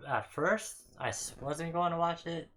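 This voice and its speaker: A man's voice: a little high, unhurried, a bit bright. A man co-hosting a casual podcast, talking in short, blunt, plain-spoken phrases. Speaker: podcast co-host. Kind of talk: casual group chat.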